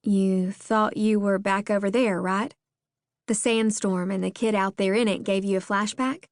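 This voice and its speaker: A woman reading an audiobook, voicing a fierce young mother who speaks in sharp, demanding bursts. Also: mid-range voice, fairly even intonation, a little slow, dry recording, clean studio sound, natural voice. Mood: firm. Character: fierce young mother protecting her son.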